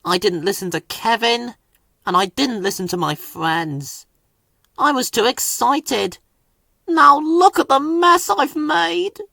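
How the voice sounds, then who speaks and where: A man's voice: very high voice, slow pace, creaky, bright, forceful tone, dry recording, clean studio sound, pitched above the performer's own voice, exaggerated voice. A man voicing an eager, bossy young tank engine in a children's storybook, sounding self-important and sure of himself. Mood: sorry, sad.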